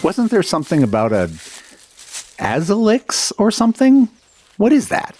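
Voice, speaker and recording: A man playing a hesitant, teasing adventurer in a fantasy audio drama, with a halting colloquial manner. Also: sits high, huge pitch swings, darkish tone, no room sound, exaggerated voice. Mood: curious.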